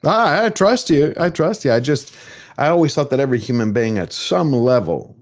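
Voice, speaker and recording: A man's voice: mid-range voice, sing-song, normal speed. A man chatting casually as a panelist on a stream, teasing and asking questions. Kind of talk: casual chat.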